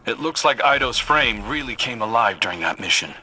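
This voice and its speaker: An actor playing a serious military commander, earnest and businesslike, guiding a soldier through a video game mission. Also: male voice, medium pitch, animated, a little fast, sharp tone, husky, full character voice, poor audio. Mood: serious, concerned. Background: music.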